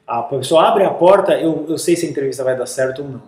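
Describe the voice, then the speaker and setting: A man's voice: on the high side, quick pace, echoey room. A man with a Brazilian accent carrying a slight Italian lilt, a guest who tells stories in a casual interview and acts out quotes as he goes. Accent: Brazilian Portuguese, slightly Italian-tinged. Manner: animated storyteller, acts out quotes.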